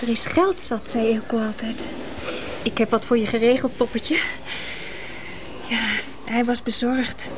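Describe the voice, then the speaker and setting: Actress as prim, needy, high-voiced mistress. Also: female voice, little pitch movement, measured pace, lightly acted. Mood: hesitant, wistful. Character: needy, prim, persistent mistress seeking money. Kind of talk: naturalistic Dutch radio drama acting. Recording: noisy radio drama recording with background ambience.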